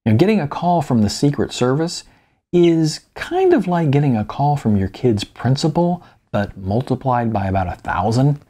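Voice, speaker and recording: Man playing worn-out male writer near fifty, self-mocking, halting, sardonic, mellow dark tone, animated pitch, audiobook. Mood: wry, rueful. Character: weary, self-deprecating almost fifty-year-old male magazine writer, confessing.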